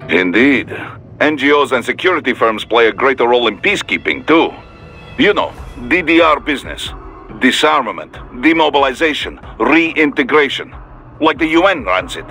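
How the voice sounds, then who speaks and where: An actor playing a seasoned, pragmatic Russian security operations chief in a video game, with a Russian accent and a measured, dry briefing manner. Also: male voice, medium pitch, sing-song, sharp tone, soft background music. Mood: matter-of-fact, measured. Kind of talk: video game radio dialogue acting.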